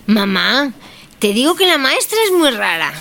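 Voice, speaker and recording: A woman's voice: on the high side, big pitch swings, normal speed, gravelly, background noise. A woman playing a cheeky, insistent young schoolchild in an audio drama. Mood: uneasy, insistent. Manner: childlike, insistent.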